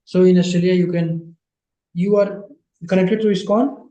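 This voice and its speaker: A man teaching Sanskrit grammar in an online class, patient and repeating phrases slowly, his English not his first language. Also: sits high, fairly even intonation, mellow, roomy sound, poor audio.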